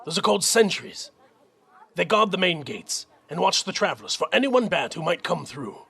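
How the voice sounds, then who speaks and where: A man playing a dutiful young army captain in an audio drama, earnest and measured. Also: high-pitched, very animated, gravelly, pushed and bright, slightly rough, exaggerated voice. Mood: calm, gentle.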